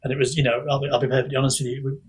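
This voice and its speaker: A man with a husky voice talking candidly and at length as an author guest in a casual chat. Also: medium pitch, little pitch movement, racing.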